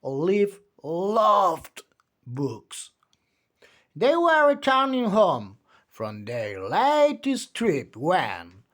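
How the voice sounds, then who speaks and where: A man reading a children's picture book aloud, with theatrical delivery and drawn-out emphasis on key words. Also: on the high side, fairly bright tone. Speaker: children's storytime reader.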